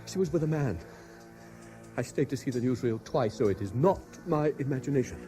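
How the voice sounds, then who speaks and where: An actor in a radio drama playing a haunted, obsessive French man, anxious and intense, with a hesitant, halting delivery. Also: medium pitch, animated, warm tone, faint music behind, natural voice. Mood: brooding, obsessed. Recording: 1970s radio drama broadcast.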